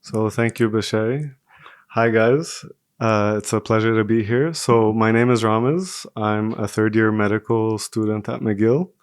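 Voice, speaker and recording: A man in his twenties from Singapore talks casually as an interview guest. His voice is smooth and fairly low, with little rise and fall in pitch. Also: steady tone, a little slow, dry recording, studio quality. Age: 20s. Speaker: podcast guest, medical student.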